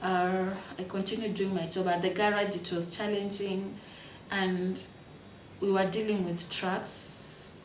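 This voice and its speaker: A Kenyan woman in her thirties telling her life story in a reflective, unhurried way, with fillers and restarts. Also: mid-range voice, flat delivery, distant mic, slight background noise. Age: 30s. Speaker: interviewee. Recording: echoey room, voice from off-mic.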